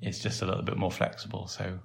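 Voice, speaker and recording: A man with a Welsh accent, hosting a casual podcast and talking in a relaxed, rambling way with many fillers. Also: low voice, fast talker, creaky. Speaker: podcast host and site developer.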